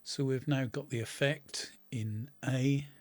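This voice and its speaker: A middle-aged man, a bit hoarse from a cold, explaining synth settings in a relaxed tutorial, full of ums and restarts. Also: medium pitch, slow pace. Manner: relaxed, talks while clicking, many ums and restarts. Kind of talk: casual screen-recorded software tutorial. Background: faint synth music.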